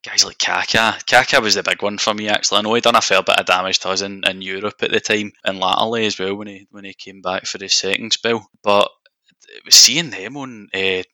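Young Scottish man, podcast guest and football fan. Very bright, forceful edge, dry recording, conversational. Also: fairly low pitch, fairly even intonation, a little fast, dry recording. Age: young adult. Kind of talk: casual chat.

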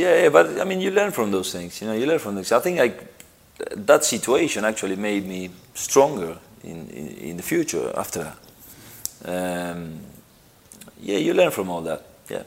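Man from Argentina, middle-aged, slightly low voice, halting speech, interview answers. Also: very animated, creaky. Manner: halting, repeats phrases, frequent "you know". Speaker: interviewed footballer.

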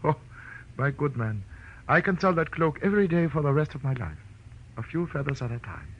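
An actor playing a smug, scheming crime boss in a vintage radio drama, silky and gloating with theatrical politeness. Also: male voice, a little high, sing-song, fairly quick. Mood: gloating, suave. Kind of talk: 1940s radio drama acting. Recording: dull, noisy vintage radio recording.

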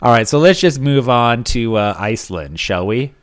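A man's voice: mid-range voice, expressive, normal speed, clear, resonant tone. A young man from Alabama co-hosting a casual comedy podcast, bantering and reading listener reviews aloud. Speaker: podcast co-host.

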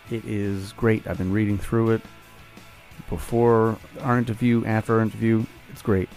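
A man hosting a podcast, raspy while getting over a cold, speaking in a relaxed, upbeat way. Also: fairly low pitch. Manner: relaxed, upbeat, loose with fillers. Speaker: podcast host. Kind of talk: casual podcast interview.

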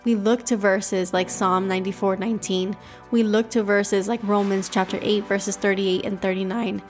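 A woman's voice: mid-range voice, level tone, normal speed, faint music behind. A young woman with a Cuban accent hosting a devotional podcast, teaching scripture and leading prayer in an earnest, emphatic way.

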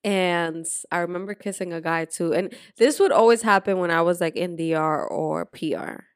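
A young woman from the Dominican Republic co-hosting a podcast, telling frank, profane stories in casual chat with quick asides. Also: on the low side, clean tone, dry recording, studio quality. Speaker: podcast co-host.

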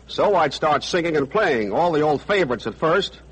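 A man's voice: fairly high pitch, expressive, normal speed, husky, low-quality recording. A man, a ship's steward, giving scripted answers in a 1940s radio interview. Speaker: merchant marine chief steward.

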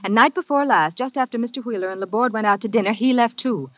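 An actress playing a poised, teasing secretary in an old radio drama. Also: female voice, fairly high pitch, fast, dry recording, close to an everyday voice. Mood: alarmed. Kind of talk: 1940s radio acting. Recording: dull old radio recording.

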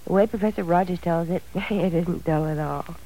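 An actress playing a dreamy, affectionate young wife who talks in a wistful, musing way, in 1940s radio style. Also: female voice, lowish voice, moderate pace, warm tone, natural voice, poor audio.

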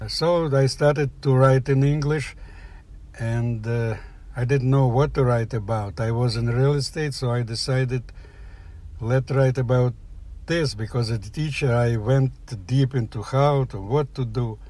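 An older man from the former Soviet Union, speaking English with a Russian accent, telling a personal story in halting, plain phrases. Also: medium pitch, fairly even intonation, darkish tone. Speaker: guest storyteller. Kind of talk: personal story told aloud.

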